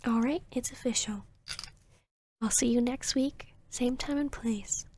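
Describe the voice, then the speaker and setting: A woman playing a polite, soft-spoken female therapist in an ASMR roleplay, slightly flustered and hesitant, with a soothing, courteous manner. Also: fairly high pitch, a little slow, a little hiss or hum. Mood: polite, encouraging.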